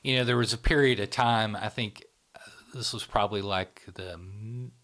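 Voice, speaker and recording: Middle-aged man, low-ish voice, teacherly explainer who keeps asking "right?". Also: normal speed, a bit bright. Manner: teacherly, checks in with "right?" often. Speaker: psychology channel founder, explaining. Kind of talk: explanatory conversation.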